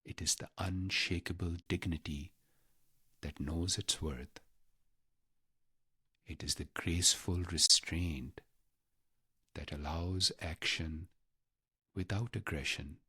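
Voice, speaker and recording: Slow deep guy doing guided meditation, reverent, short phrases w long pauses, super close dry sound. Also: fairly even intonation, sharp tone, slightly rough, no room sound.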